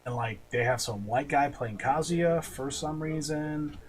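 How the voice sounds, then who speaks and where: A man's voice: on the high side, unhurried, a crowd in the background. A man co-hosting a podcast in casual chat, blunt and slangy, swearing freely. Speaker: podcast co-host. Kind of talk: casual chat about video game movies.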